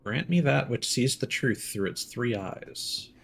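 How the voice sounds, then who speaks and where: A man's voice: medium pitch, animated, unhurried, gravelly, fairly bright tone, slight background noise. A man doing live gameplay commentary as a streamer, in a wry, sardonic manner. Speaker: game streamer. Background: video game sound.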